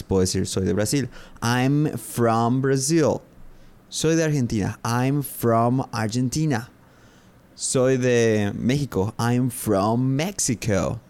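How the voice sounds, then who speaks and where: A man, hosting a podcast English lesson and repeating phrases slowly and carefully for learners. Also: mid-range voice, a little mellow. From Paraguay. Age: 30s. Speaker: English teacher, podcast host.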